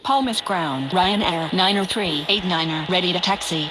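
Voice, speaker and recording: A woman in a flight simulation game, reading clipped radio calls in a flat, businesslike readback. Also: fairly low pitch, moderate pace, close to an everyday voice. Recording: heavy static over a radio channel.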